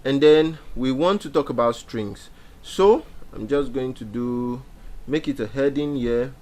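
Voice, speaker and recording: A man's voice: medium pitch, a little slow, dark tone. Young man teaching code, patient and repetitive. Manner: explanatory, repeats key points with many fillers. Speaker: programming instructor. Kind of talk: screen-share coding tutorial.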